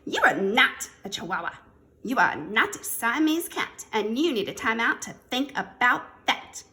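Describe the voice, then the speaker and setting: A strict mother cat acted out by a woman reading a storybook. Her voice is fairly high and forceful, with lively swings in pitch. She scolds and coaxes in firm, emphatic commands. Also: measured pace, heavily acted. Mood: scolding.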